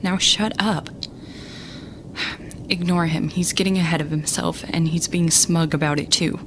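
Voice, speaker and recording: A woman's voice: on the low side, steady tone, unhurried, background noise, natural voice. A woman playing an exasperated young space captain who narrates her story in a sarcastic, conversational way for an audio drama. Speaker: narrator and lead character.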